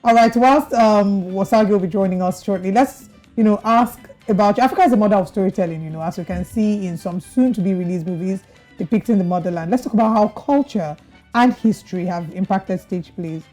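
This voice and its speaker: Bright-voiced woman hosting TV interview. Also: medium pitch, a little fast, faint music behind. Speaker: TV show host. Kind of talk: broadcast interview.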